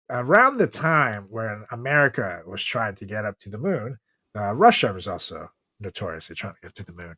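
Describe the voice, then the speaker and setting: A man with a mid-pitched voice that swings widely up and down. He talks like a podcast host among friends, loose and joking, with plenty of swearing. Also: a bit bright. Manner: swears freely. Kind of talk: casual comedic podcast chat.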